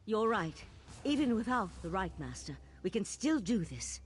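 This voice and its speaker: A woman voicing an earnest, troubled mage of a magical order in a fantasy video game, serious and deliberate. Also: mid-range voice, sing-song, a little hiss or hum, lightly acted. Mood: troubled, uncertain.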